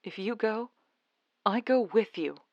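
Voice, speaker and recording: A woman's voice: mid-range voice, measured pace, no echo, close to an everyday voice. A woman playing an anxious, loyal young adult daughter in an old-time radio drama, earnest and dramatic. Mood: worried, stubborn. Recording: dull, dry lo-fi radio recording.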